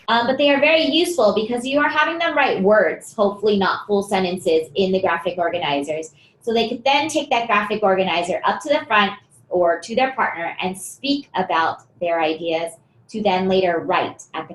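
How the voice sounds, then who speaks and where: A woman presenting a teaching webinar, explaining activities steadily and often pausing on fillers. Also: mid-range voice, roomy sound, poor audio.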